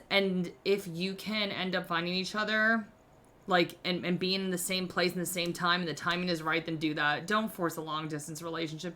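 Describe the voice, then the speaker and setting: A woman's voice: medium pitch, bright, forceful tone. A woman in her thirties hosting a podcast, giving candid relationship advice in a casual, self-mocking way, full of "like". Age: 30s. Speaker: podcast host.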